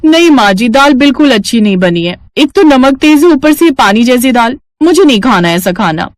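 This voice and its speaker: A woman's voice: high voice, expressive, moderate pace, no echo, voice pushed up. A woman voicing a smug, boastful young working daughter-in-law in a story, sugary to elders and condescending. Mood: demanding, complaining.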